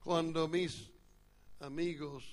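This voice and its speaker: A man whose first language is English preaching a sermon at a church retreat in Spanish with an American accent, in a measured, explanatory teaching manner. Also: a little high, fairly even intonation.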